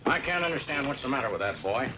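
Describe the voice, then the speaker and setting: An actor playing a puzzled medical examiner in an old-time western drama. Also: male voice, mid-range voice, lively intonation, fast, close to an everyday voice. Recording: dull, noisy vintage soundtrack.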